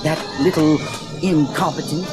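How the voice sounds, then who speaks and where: A man's voice: on the high side, steady tone, a little slow, over loud music, close to an everyday voice. A man playing an anxious, fussy, polite protocol droid in an audio drama, speaking in prim, formal phrases. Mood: fretful.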